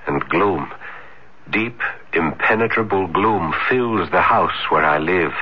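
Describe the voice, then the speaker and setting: A man's voice: deep voice, takes it slowly, husky, heavily acted. An actor playing a tormented, brooding young male student with intense, agonized delivery in an old-time radio drama. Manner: theatrical, agonized, florid. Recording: dull vintage radio broadcast recording.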